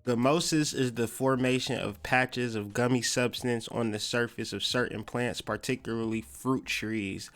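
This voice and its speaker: A man's voice: mid-range voice, fairly even intonation, normal speed, hardly any pauses, fairly bright tone, faint music behind. A man hosting a podcast, mixing casual slangy commentary with reading aloud and repeating phrases for emphasis. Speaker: podcast host.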